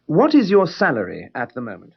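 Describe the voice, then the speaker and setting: An actor playing a male managing director who slowly and deliberately questions a job applicant in a scripted course dialogue. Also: a little high, huge pitch swings, creaky, slightly rough, heavily acted. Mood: questioning. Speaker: company boss in a language course dialogue. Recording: dull lo-fi course tape.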